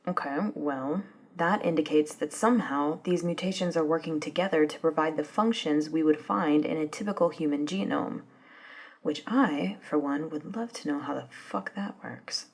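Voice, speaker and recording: A woman playing an eager, polite female office and lab assistant in a soft-spoken ASMR roleplay, with a breathy, murmuring, slightly hesitant delivery. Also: deep voice, moderate pace. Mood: curious, casual. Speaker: voice actor in scripted ASMR roleplay.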